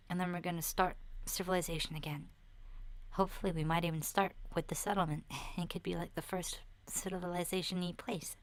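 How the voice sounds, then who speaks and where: A woman voicing a sensitive young girl survivor in a soft, breathy, often whispered ASMR roleplay, speaking hesitantly and earnestly. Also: lowish voice, close to an everyday voice. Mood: hopeful, determined. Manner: hesitant, halting, childlike phrasing.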